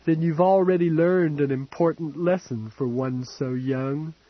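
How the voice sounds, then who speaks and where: A man's voice: on the high side, measured pace, no room sound, dull audio, pitched above the performer's own voice. A man doing a dying, drunken old aristocrat. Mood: mocking. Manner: languid, rambling, mocking. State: drunk and fading. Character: ancient dying decadent rich old man. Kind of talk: audiobook character voice.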